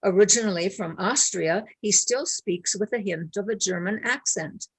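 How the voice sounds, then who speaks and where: A woman's voice: medium pitch, normal speed, husky, rough recording. A woman narrating a play, reading scripted stage directions.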